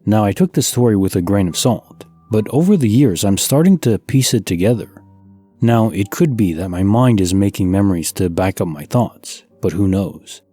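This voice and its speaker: Soft-spoken man narrating calm stories. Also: moderate pace. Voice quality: soft and low. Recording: light music underneath.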